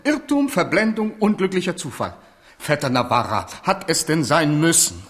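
High-strung, volatile monarch, highish voice, animated swoops, theatrical radio drama. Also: male voice, moderate pace. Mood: plaintive, weary. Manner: volatile, swinging from outbursts to plaintive murmurs. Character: capricious, wounded, high-strung French king. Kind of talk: German radio play acting, theatrical.

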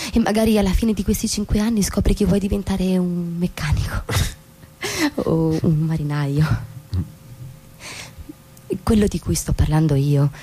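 Husky, intense wife. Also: female voice, lowish voice, mellow. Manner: intense, insistent, emphatic repetitions. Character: frustrated, fervently persuasive young American suburban wife. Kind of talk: stage-style radio drama dialogue.

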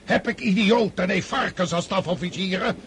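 An actor in a radio drama playing an old, sickly highborn army commander who scolds imperiously. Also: male voice, moderate pace, fairly bright tone, slight background noise. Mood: furious.

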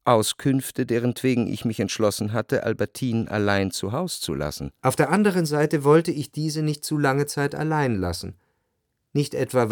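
An actor playing a reflective, refined first-person narrator in a radio drama, reading in a measured literary style. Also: male voice, medium pitch, no echo, clean studio sound, natural voice.

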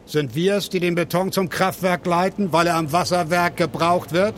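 An actor playing a defiant construction brigade leader in a radio play, blunt and mocking. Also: male voice, high voice, fairly even intonation, normal speed, fairly bright tone, a little hiss or hum. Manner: blunt, taunting. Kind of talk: radio play acting over music.

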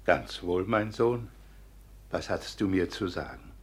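A grief-stricken, honourable old father in a classical radio drama. The voice is lowish and heavily husky, breathy with age, and moves with solemn pathos through broad swells of pitch. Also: animated, unhurried, full character voice. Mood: anxious. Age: older. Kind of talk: classical German radio play acting.

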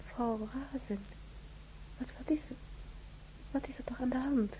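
An actress playing a weak, dazed young woman in a hospital scene of a radio play, speaking faintly and haltingly. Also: high-pitched, steady tone, mellow, a little hiss or hum, low-quality recording. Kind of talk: radio drama acting.

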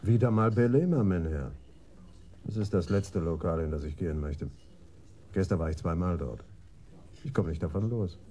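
Actor as a mellow, low-voiced police detective. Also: male voice, moderate pace, pauses often, slight background noise, lightly acted. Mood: weary. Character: deferential police detective, weary and plain-spoken. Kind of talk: German radio crime drama acting.

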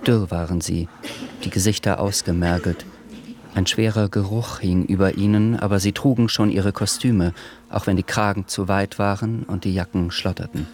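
A man narrating a radio drama in a calm, measured way. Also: deep voice.

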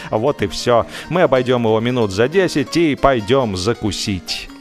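Male reader as boastful, self-assured gentleman in a comic audiobook, medium register, emphatic delivery, music underneath. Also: medium pitch, animated, normal speed, close to an everyday voice. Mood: breezy confidence. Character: cocksure, blustering man bragging to companions. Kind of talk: audiobook character acting.

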